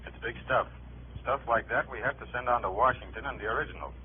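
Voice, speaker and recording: An actor playing a terse, matter-of-fact government agent heard through a telephone filter in a 1950s radio drama. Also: male voice, mid-range voice, quick pace, a little hiss or hum. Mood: terse, apologetic. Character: discreet, businesslike male FBI contact on the phone. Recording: faint, tinny telephone voice, old radio recording.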